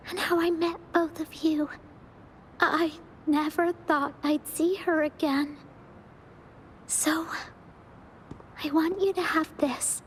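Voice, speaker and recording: Game role: tender young girl. Very high, unhurried, hesitant, heartfelt, faint noise behind. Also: rough recording. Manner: soft, hesitant, heartfelt delivery. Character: tender, wistful young girl, shy and sincere. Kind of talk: video game voice acting.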